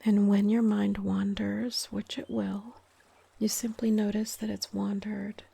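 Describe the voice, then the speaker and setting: Female meditation guide, whispery and soothing, mellow tone, very even delivery with gentle pauses. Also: medium pitch, dry recording. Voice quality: breathy, whispery. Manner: calm, soothing, gentle, unhurried with long pauses. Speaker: meditation guide, yoga teacher. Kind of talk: guided meditation, soft-spoken.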